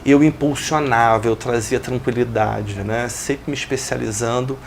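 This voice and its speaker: A man in his forties, a guest retelling how he built his business, speaking in an even, reflective way. His voice has a gravelly, fry-tinged edge at a middle pitch. A faint noise sits under the recording. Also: moderate pace. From Brazil. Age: 40s. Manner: reflective, steady storytelling with business jargon. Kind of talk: interview, telling his life story.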